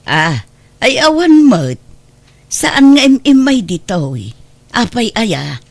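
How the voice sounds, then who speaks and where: A woman playing a protective, sympathetic middle-aged godmother in a radio drama, expressive and concerned. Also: fairly high pitch, slow pace, gravelly, no echo, heavily acted. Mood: defensive, evasive. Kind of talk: Ilocano radio drama acting.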